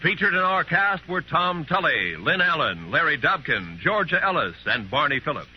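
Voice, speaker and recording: A man's voice: on the high side, sing-song, normal speed, sharp tone, a little hiss or hum, poor audio. A man reading scripted credits and promos as a 1950s radio announcer.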